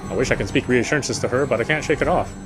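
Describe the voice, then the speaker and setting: A man streaming a game, reading its text aloud as measured, dramatic narration with occasional stumbles. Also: mid-range voice. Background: game music.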